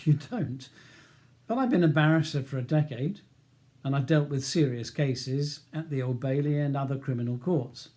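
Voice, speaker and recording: Man playing a composed, mellow-voiced barrister. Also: mid-range voice, close to an everyday voice. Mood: courteous. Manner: polite, measured, professional. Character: male barrister in his thirties, composed, courteous, persuasive. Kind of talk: audiobook character dialogue.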